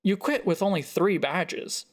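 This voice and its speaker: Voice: medium pitch, unhurried, natural voice. A narrator voicing a pushy, dismissive father of a grown daughter in a story reading. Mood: critical. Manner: pressing and dismissive. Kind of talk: dialogue in a narrated short story.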